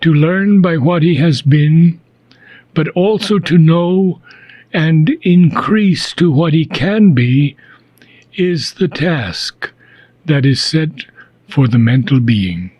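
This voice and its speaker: A man with a husky voice reads philosophical prose aloud as an audiobook, solemn and deliberate, pausing between short phrases. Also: a little high, expressive, darkish tone, no echo. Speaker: reader of a philosophy book. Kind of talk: slow, measured audiobook reading of philosophical prose.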